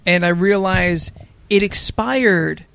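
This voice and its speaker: An American man hosting a podcast, telling a story casually in a halting, sweary way. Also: sits high, gravelly, fairly bright tone, smooth. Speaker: podcast host.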